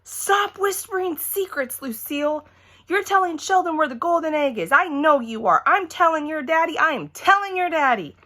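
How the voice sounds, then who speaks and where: Angry tattletale schoolgirl for a storybook, acted by a woman, high, shrill and accusing. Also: expressive, moderate pace, pushed and bright. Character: angry, tattling little girl classmate.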